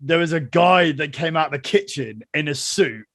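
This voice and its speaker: Animated podcast host, dry close sound. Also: male voice, fairly high pitch, normal speed, dry recording. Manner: animated storyteller, heavy swearing, laughs a lot. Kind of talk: casual chat.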